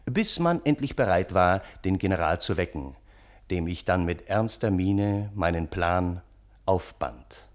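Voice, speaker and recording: A man plays an earnest, scheming gentleman telling his own tale in a radio play. His voice is fairly low, measured and dryly ironic, with faint music behind it. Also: close to an everyday voice. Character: wry, wordy storytelling uncle, Russian gentleman, scheming and earnest. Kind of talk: narrated German radio play.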